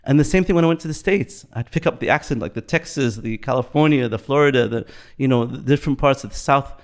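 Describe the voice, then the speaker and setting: A man in his fifties from Bahrain, chatting in a relaxed podcast conversation, reflective, often repeating and restarting his words. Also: mid-range voice, fast. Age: 50s. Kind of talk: casual podcast chat.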